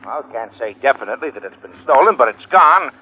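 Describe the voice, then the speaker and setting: A man's voice: medium pitch, expressive, fast talker, breathy edge. An actor playing a chatty, businesslike insurance man on a call in a 1950s radio drama. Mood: brisk, chatty. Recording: dull, lo-fi old radio recording.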